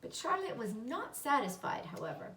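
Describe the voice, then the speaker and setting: Female storybook narrator, higher-pitched voice, read-aloud delivery, roomy recording. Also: sing-song, normal speed, fairly bright tone. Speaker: storybook reader. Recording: roomy echo, slightly distant mic.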